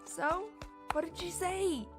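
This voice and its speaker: A woman voicing an easygoing, puzzled young pony friend in an animated cartoon, casual and questioning. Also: extremely high pitch, takes it slowly. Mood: surprised, hesitant. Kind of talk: animated cartoon drama acting. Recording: music behind the dialogue.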